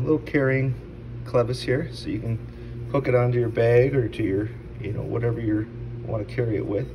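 A man giving a casual, unscripted product review in an informal, halting conversational way. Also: fairly low pitch. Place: indoors at a stone countertop. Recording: distant mic, clearly noisy background.

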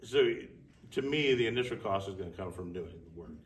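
A man's voice: sits low, expressive, brisk. A man laying out costs at a school board meeting, reasoning aloud step by step. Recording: echoey room, far from the mic.

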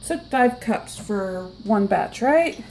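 A woman in her thirties cooking in a small home kitchen, chatting casually and counting out loud, recorded on a phone. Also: medium pitch, expressive, takes it slowly, slight background noise, rough recording. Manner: counts out loud with a put-on villain laugh. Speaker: home cook.

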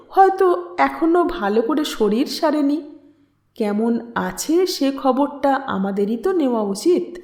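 Female story narrator, slow and expressive, measured dramatic reading, roomy echo. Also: high-pitched. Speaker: audiobook narrator and channel host.

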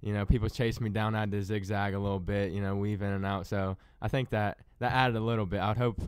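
A man's voice: fairly low pitch, little pitch movement, fast, clear, resonant tone, no echo, studio quality. A young man in his twenties, a college running back, telling his story in a laid-back way on a radio show. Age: 20s. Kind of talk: casual radio interview. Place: radio studio.

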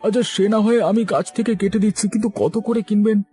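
A man performs a humble village farmer from a cartoon, deferential to his betters. The voice is very high for a man yet soft-toned and dark, over faint music. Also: fairly even intonation, a little fast, close to an everyday voice. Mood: amazed. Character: humble, deferential poor gourd farmer husband. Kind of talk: cartoon voice acting.

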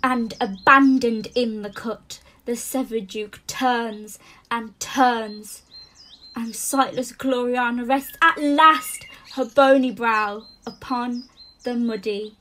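Woman as a slow, solemn dramatic chorus. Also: fairly high pitch, fairly even intonation, fairly bright tone. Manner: measured, solemn. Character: solemn female chorus narrating a grim dawn. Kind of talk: poetic scripted audio drama narration. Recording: faint noise, birdsong behind.

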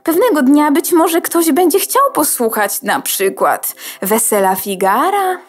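A woman's voice: sits high, expressive, measured pace, soft background music. A woman playing a vain, self-important opera diva in a theatrical children's radio play. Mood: grand, self-satisfied. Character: vain female opera diva, a computer component.

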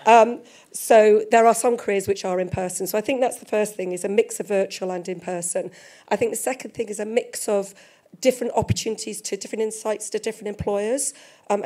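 A middle-aged woman with a slightly croaky, losing voice talks on a seminar panel. Her tone is mellow and dark, measured and steady, picked up by a handheld microphone in a museum event room. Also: mid-range voice. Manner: measured, speaks through a handheld mic. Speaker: panelist, head of talent. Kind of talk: panel discussion remarks. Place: museum event space with a live audience.

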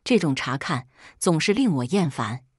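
A woman narrating a first-person book from a script in a measured, reflective manner. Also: deep voice, sing-song, slightly rough, no room sound, clean studio sound. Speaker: audiobook narrator.

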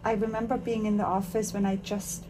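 An enthusiastic woman from Austria talks while she applies makeup, in a mid-range voice. She is chatty and warm to her guest. A faint steady noise sits under her voice in a living room. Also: medium pitch, a little fast. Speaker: makeup artist and host. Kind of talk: casual chat while doing makeup.

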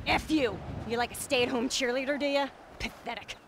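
Angry neurotic 30s fitness freak woman, high voice with fry, sweary game character, some background noise. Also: steady tone, normal speed. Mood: scornful. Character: abrasive, insecure, angry 39-year-old single fitness fanatic woman. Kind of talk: video game cutscene acting.

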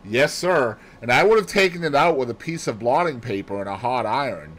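A man's voice: medium pitch, expressive, brisk, a bit bright. A man voicing a nervous, deferential housemaid with a hesitant manner, as dialogue in an audiobook. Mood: earnest, certain.

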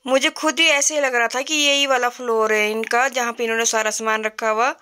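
Woman, middle-aged, flat even delivery, casual shopping vlog, phone recording in a store. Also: fairly high pitch, clean tone. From Himachal Pradesh, India. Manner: slow, plain chatty narration with fillers. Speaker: vlogger. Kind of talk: casual shop walkthrough vlog. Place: indoor retail store.

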